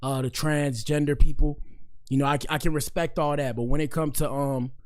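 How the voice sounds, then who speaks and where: A young man from Miami-Dade County with a hoarse, partly lost voice, hosting a casual podcast livestream. He rambles loosely in slang and speaks straight to his chat. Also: on the high side, steady tone, normal speed. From Miami-Dade County, Florida. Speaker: podcast host.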